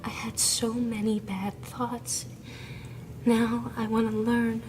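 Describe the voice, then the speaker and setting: An actress playing a naive, guilt-ridden young captive who whispers in a hesitant, childlike, pleading way in an audio drama. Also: female voice, a little high, level tone, slow pace, a little mellow, a little hiss or hum, poor audio. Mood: anxious, remorseful. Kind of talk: audio drama acting, hushed confiding.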